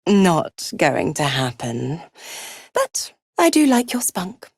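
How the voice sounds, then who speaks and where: Seductive she-devil for a game, smug and cruel, slow drawl, super swoopy delivery. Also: fairly low pitch, huge pitch swings, slightly rough, dry recording, clean studio sound, exaggerated voice. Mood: taunting, condescending. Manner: theatrical, drawling, teasing and condescending. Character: seductive, mocking, scheming female devil, smugly cruel patron. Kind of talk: video game character acting.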